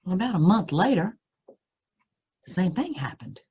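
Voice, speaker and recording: Expressive husky woman telling a spooky story. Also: on the low side, normal speed. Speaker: storyteller reading a story aloud. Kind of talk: dramatic first-person spooky story reading.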